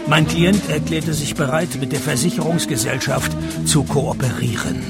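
A man playing a wry, world-weary private detective narrating his cases in a radio play, dry and deadpan. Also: on the high side, normal speed, creaky, loud music behind the voice. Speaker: narrator and lead character. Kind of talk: German-language radio play, scripted narration.

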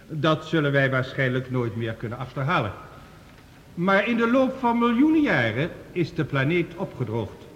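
An actor playing an earnest male scientist, giving a formal, precise lecture in a science fiction radio play. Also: a little high. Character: male scientist reporting Mars findings, earnest and authoritative. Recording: reverberant hall, radio drama production.

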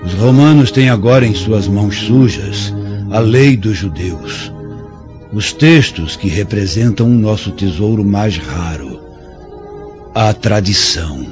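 Defiant doomed captive: lowish voice, Brazilian accent, indignant declamation, dull radio-play sound over music. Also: male voice, animated, takes it slowly. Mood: bitter indignation. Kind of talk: radio drama acting in a historical serial.

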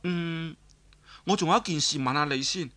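A man with a Cantonese accent voicing a scheming older noblewoman in a story, halting and guarded as she frets over her lover. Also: a little high, pushed and bright, voice pushed up. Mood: anxious, hesitant. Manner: hesitant, halting, repeats words.

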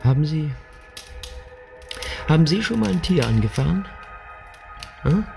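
A calm, sinister lord of the manor. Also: male voice, a little high, vocal fry, faint music behind, full character voice. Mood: menacing. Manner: slow, insinuating, menacing. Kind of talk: radio drama acting.

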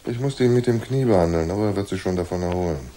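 Male actor as deadpan noir tough guy, low mellow voice, faint noise behind, radio drama. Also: quick pace, natural voice. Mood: dry, laconic. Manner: dry, deadpan, laconic. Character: wry, tough, laconic man held at gunpoint. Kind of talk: German radio crime drama acting.

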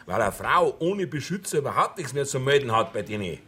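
An actor playing an indignant, opinionated Bavarian pub regular in a radio crime play, ranting bluntly in rustic dialect. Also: male voice, mid-range voice, sing-song, brisk, bright, forceful tone.

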